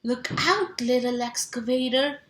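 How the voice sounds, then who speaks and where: A woman reading a picture book aloud to young children in a sing-song, animated way, stretching sound words and asking simple questions. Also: high-pitched, a little slow. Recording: roomy echo, clear background noise.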